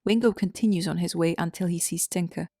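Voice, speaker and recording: A woman's voice: lowish voice, fairly quick, darkish tone, no echo, studio quality. A woman narrating a scripted story as the narrator of an audio drama.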